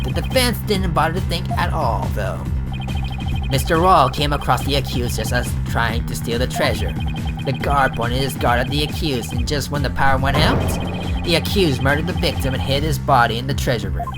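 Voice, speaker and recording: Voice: mid-range voice, natural voice. A game player voicing a stern, scornful young male prosecutor while reading game dialogue aloud, in a cold and lofty manner. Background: game music.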